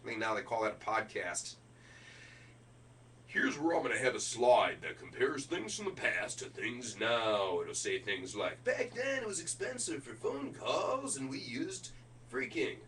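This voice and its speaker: A man's voice: mid-range voice, very animated, measured pace, a bit bright, far from the mic, slight background noise. A middle-aged man running through a keynote speech draft, switching between presenter delivery and offhand asides. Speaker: keynote speaker rehearsing a speech.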